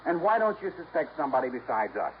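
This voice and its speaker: A cocky young American in an old radio play. His voice sits fairly high and swings about, glib and protesting. The recording is dull, with faint hiss. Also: big pitch swings, fast talker. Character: cocky, defensive young twin man, glib and high-spirited. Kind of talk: 1950s radio drama acting.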